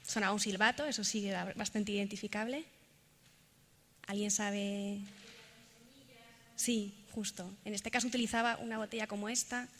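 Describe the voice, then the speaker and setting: A woman with a Spain Spanish accent gives an informal presentation to an audience, hesitant and pausing often with fillers. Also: medium pitch, fairly even intonation, fairly bright tone. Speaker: presenter giving a talk and demonstration. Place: large echoing indoor event space. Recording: reverberant hall, clear background noise.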